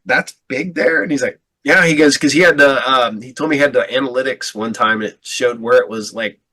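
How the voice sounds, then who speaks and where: A man's voice: medium pitch, fairly quick, sharp tone, low-quality recording. A male podcast host chatting casually, stammering over restarts and laughing often. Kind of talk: casual chat.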